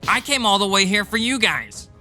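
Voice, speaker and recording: A man's voice: high-pitched, lively intonation, moderate pace, pushed and bright, faint music behind, exaggerated voice. A man voicing an arrogant, callous, easily irritated husband in a manga dub, dismissive and self-important. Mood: indignant. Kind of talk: dramatized manga dub dialogue.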